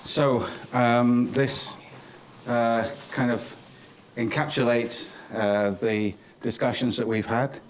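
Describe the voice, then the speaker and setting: A British man from Cornwall giving semi-formal closing remarks at a conference, speaking in a halting, hesitant way. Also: mid-range voice, steady tone. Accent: British English. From Cornwall, England. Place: indoor business school conference venue. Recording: clearly noisy conference room recording.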